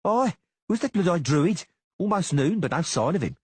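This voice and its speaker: A man's voice: a little high, big pitch swings, moderate pace, breathy edge, dry recording, voice pushed up. A man voicing a rowdy, cackling giant mercenary in an audiobook. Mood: rowdy impatient.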